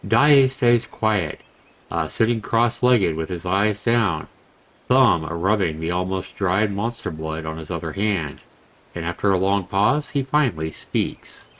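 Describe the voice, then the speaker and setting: A man with a fairly low voice narrates a visual novel. His delivery is very slow and measured, like a dramatic storyteller reading text aloud. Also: expressive, a bit bright. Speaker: game reviewer narrating a visual novel playthrough.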